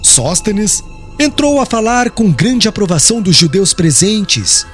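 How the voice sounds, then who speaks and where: A man reading scripted audiobook narration as an expressive, solemn storyteller. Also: high voice, a little slow. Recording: music behind the voice.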